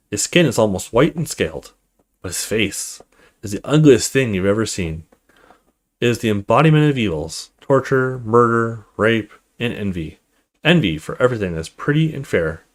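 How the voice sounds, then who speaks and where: Brief: male let's play host, narrating a visual novel with casual asides, mid-range voice, animated intonation. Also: medium pitch. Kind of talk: reading visual novel text aloud with casual commentary.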